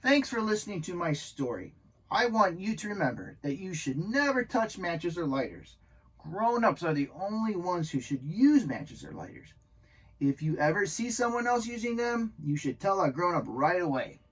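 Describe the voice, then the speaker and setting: A man's voice: high voice, sing-song, normal speed, a bit bright, breathy edge, exaggerated voice, rough recording. A man voicing an earnest, childlike young dog in a children's storybook, speaking simply to kids. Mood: earnest advice. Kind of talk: children's storybook read aloud.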